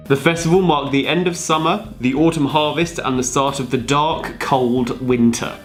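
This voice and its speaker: Dry male explainer with medium-pitched voice. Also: steady tone, moderate pace, soft background music. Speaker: video co-presenter. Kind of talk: scripted explainer narration with banter.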